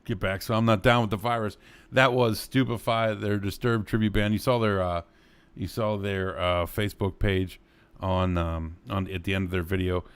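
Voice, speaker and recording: A man with a very low voice hosts a live online music showcase. He talks casually and rambles, with many fillers as he introduces the videos. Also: steady tone, normal speed, clear, resonant tone. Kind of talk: casual live-stream chat.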